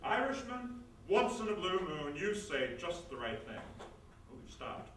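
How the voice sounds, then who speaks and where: A man's voice: sits high, big pitch swings, measured pace, a bit bright, heavily acted. Florid, obsessed jilted showman, echoing. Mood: scheming. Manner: florid, melodramatic. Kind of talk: stage comedy reader's theatre acting. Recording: very echoey stage, distant mic, noisy.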